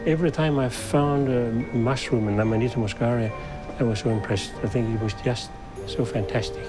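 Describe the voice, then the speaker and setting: A man's voice: mid-range voice, fairly even intonation, brisk, music in the background. An older man with a Danish accent, a scientist recounting his experiences in an interview. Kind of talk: recollective interview storytelling.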